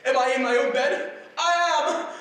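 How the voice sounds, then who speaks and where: A man acting out a remorseful old miser in a radio play. His high voice echoes in a big hall, heard from a distance. He pleads and exclaims with stagey force. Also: normal speed, fairly bright tone. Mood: astonished. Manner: pleading and theatrical. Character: old male miser, remorseful then overjoyed. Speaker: Scrooge. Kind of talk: 1940s style radio drama acting. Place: theater stage.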